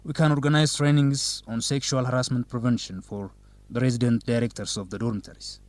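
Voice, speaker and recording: An actor playing a dignified young student council president, answering formally in an educational radio drama. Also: male voice, mid-range voice, fairly even intonation, dry recording, lightly acted. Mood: formal, assured. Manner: formal, measured, politician-like.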